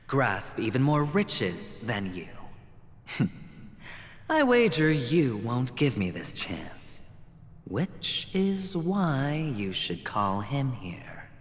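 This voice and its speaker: An actor playing a self-assured young male gambler in a game cutscene, speaking in a wry, measured way. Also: mid-range voice, huge pitch swings, big-room sound, exaggerated voice. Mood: defiant.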